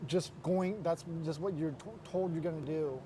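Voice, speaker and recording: A man from the Los Angeles area hosting a casual interview, talking earnestly and haltingly with restarts. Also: a little high, steady tone, a crowd in the background. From United States, Los Angeles area. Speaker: host, interviewer.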